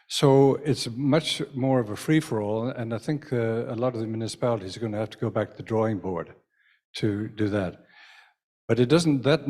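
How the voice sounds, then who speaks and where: A man's voice: medium pitch, darkish tone. A man speaking from the floor at a church meeting, wry and well-informed as he raises a zoning point. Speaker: parishioner asking a question. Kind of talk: public comment at a parish meeting.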